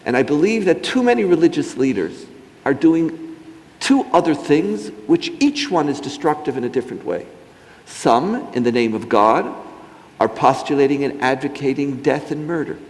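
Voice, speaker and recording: A man's voice: on the high side, lively intonation, normal speed. A man, a rabbi on a panel, speaking emphatically and outspokenly. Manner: emphatic, outspoken, mocking in asides. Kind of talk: impassioned panel talk. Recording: reverberant hall.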